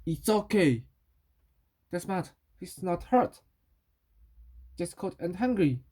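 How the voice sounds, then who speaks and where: A woman with a slightly low voice reads a children's story aloud. Her delivery is halting, and she often goes back over words to repeat or fix them. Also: lively intonation, mellow. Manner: halting, repeats and corrects words while reading.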